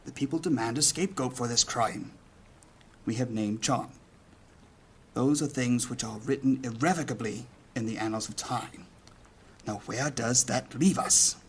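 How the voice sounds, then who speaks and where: A man playing a firm, weighty leader of a mage guild in a fantasy audio drama, formal and deliberate. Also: mid-range voice, slightly rough. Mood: grave, commanding.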